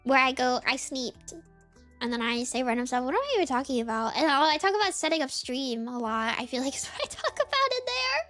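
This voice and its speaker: High-voiced woman rambling on stream. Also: big pitch swings, a little fast, fairly bright tone, faint music behind. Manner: rambling, filler-heavy, giggly. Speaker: VTuber streamer. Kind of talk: casual chat stream.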